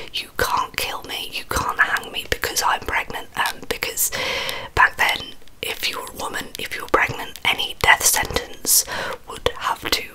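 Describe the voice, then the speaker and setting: A woman in her twenties with an English accent telling stories in a soft, breathy ASMR whisper, close to the microphone, slightly hesitant. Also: very high voice, low-quality recording. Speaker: ASMR video host. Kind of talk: whispered ASMR true crime storytelling. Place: small carpeted bedroom. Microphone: large microphone very close in front of the mouth.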